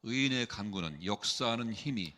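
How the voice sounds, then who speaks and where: A man reads scripture aloud in a steady, formal manner. His voice is somewhat low but bright. It carries a long church reverb. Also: normal speed. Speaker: scripture reader.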